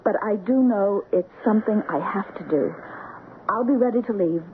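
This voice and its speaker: An actress plays an American wife and painter, dreamy but strong-willed. Her mid-range voice is intense and halting, with dramatic phrasing. The sound is that of 1970s radio drama, tinny with faint hiss. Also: mid-range voice, lightly acted. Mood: entranced, determined. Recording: tinny, noisy 1970s radio broadcast recording.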